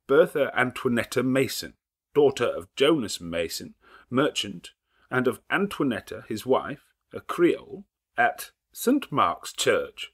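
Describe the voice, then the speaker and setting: A man's voice: medium pitch, a bit bright, slightly rough, pitched above the performer's own voice, exaggerated voice. A man doing a nasal, official lawyer. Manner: precise, official reading. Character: nasal, formal middle-aged male solicitor.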